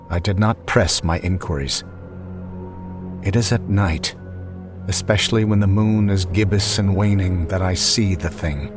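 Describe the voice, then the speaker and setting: A man with a fairly low voice reads a horror tale aloud in a theatrical, brooding way, weighing each phrase. Soft music plays quietly underneath him. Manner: theatrical, measured, brooding delivery. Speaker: audiobook reader. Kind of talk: dramatic horror story reading. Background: faint underscore music.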